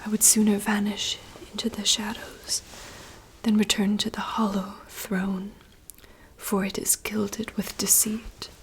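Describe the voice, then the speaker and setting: A woman voicing a wistful young princess in a soft, slow, poetic whisper. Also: mid-range voice, level tone, a little hiss or hum, natural voice, poor audio. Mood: yearning. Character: wistful young princess longing for wild woods. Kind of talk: whispered monologue reading.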